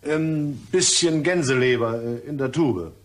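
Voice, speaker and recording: An actor playing a shifty, irritable gentleman in a crime radio play, slippery and impatient in manner. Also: medium pitch, a little slow, natural voice. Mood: evasive, polite. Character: shifty, irritable gentleman employee suspected of embezzlement.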